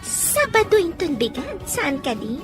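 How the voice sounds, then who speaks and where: Woman playing a squeaky, bubbly young waitress. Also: big pitch swings, measured pace, heavily acted. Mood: playful. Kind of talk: amateur audio drama acting. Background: music.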